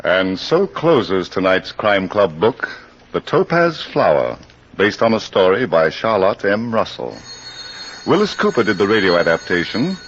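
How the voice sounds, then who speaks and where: A man with an American accent hosting a 1940s radio anthology with measured, formal scripted narration, on a muffled, noisy old recording. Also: sits low, very animated.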